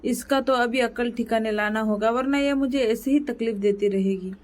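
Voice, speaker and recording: A meek henpecked crow husband frets and pleads in a weary tone, as a woman acts him out. He sounds worn down and anxious, a gentle storybook figure. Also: fairly high pitch, fairly even intonation, normal speed, natural voice. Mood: fretting.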